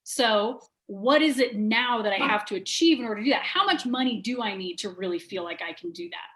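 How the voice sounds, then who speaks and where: A woman, a guest on a business interview, talking quickly and openly and filling her speech with "like". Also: mid-range voice, low-quality recording. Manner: rapid and animated, lots of "like" fillers. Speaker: guest, sales trainer and business owner. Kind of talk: candid conversational interview.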